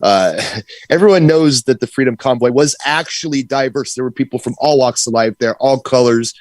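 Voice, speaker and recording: A man's voice: medium pitch, normal speed, darkish tone, no room sound. A man co-hosting a livestream, talking casually and giving political opinions. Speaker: livestream co-host.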